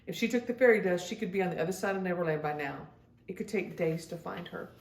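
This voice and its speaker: A woman voicing a brisk, decisive little female fairy in a children's storybook, firm and matter-of-fact. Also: lowish voice, gravelly, roomy sound, recorded from a distance. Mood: matter-of-fact, worried.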